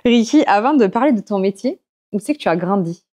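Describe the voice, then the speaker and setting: Woman, podcast host, mid-pitched, short casual questions. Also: animated, a little fast, darkish tone. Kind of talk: casual interview.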